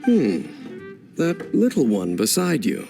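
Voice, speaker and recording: An aloof wanderer from an anime game. His speech is slow and deliberate, with a creaky, gravelly edge. Clear music plays behind the terse, brooding lines. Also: medium pitch, huge pitch swings, heavily acted. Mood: aloof, measured. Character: aloof, brooding, mysterious tall male stranger. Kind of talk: anime video game voice acting.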